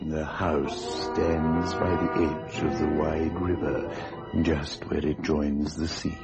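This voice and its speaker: An actor playing an ominous, sardonic narrator of a radio horror tale, speaking slowly and deliberately. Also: male voice, rumbling low, flat delivery, exaggerated voice. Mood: ominous, wry. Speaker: narrator and series announcer. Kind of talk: radio thriller scripted narration. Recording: dull old radio recording, music behind.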